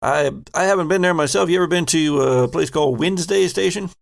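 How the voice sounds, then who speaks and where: Man as a halting, creaky-voiced spaceship boss. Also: a little high, voice pushed up. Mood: hesitant, polite. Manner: halting, hedging, mild-mannered. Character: hesitant, polite spaceship boss sounding out his crew. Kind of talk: improvised in-character dialogue in a tabletop game.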